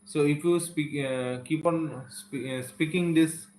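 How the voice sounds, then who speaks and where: A man's voice: on the high side, fairly even intonation, measured pace, a little mellow, slight background noise. A man with an Indian accent whose first language is Santali, giving an earnest live-stream talk to English learners and often repeating phrases and saying "okay". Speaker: English teacher. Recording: echoey room.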